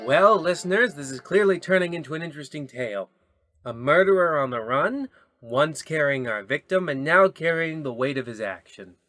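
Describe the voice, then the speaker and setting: A man's voice: fairly high pitch, animated, normal speed, pushed and bright, faint music behind. A man playing a grandiose, sermon-like narrator in the style of an old radio serial. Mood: grave, portentous. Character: solemn, preachy male radio-play narrator.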